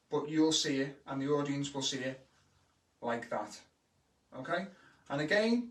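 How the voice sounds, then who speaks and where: Scouse kids' entertainer, fairly high voice, chatty live tutorial, echoey room, mic set back. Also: male voice. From Liverpool, England. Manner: speeds up when excited, chatty and encouraging. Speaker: kids' entertainer teaching magic tricks. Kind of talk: casual live-stream tutorial. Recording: roomy echo, mic at a distance.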